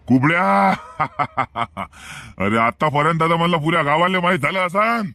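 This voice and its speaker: Swaggering comic gang boss played by a man, Varhadi village accent, grandly boastful, highish pitch. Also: pushed and bright, heavily acted. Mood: gloating. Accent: rural Maharashtra, Varhadi Marathi. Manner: bragging, cackling, refers to himself grandly. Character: boastful swaggering village gangster boss, self-styled underworld don. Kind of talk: comic cartoon dialogue.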